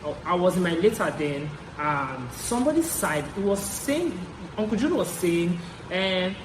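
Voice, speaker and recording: A young adult woman with a Nigerian accent chats to her viewers in a casual storytelling style. She often repeats herself to drive a point home. The sound is roomy and echoey, with clear noise behind her voice. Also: fairly low pitch, measured pace. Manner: animated, chatty, repeats phrases for emphasis. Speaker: vlogger.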